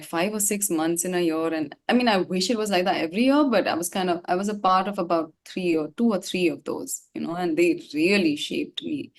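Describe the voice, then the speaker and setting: A woman's voice: on the low side, darkish tone. A woman from Gangtok, India, a singer chatting casually as an interview guest, informal and reflective in a rambling way.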